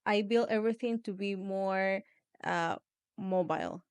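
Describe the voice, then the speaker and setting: Venezuelan woman with a smooth, clear voice. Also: medium pitch, measured pace. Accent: Venezuelan Spanish. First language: Spanish. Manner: relaxed, many "like" fillers, plain non-native phrasing. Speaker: studio founder, guest. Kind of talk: casual interview chat. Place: small podcast studio.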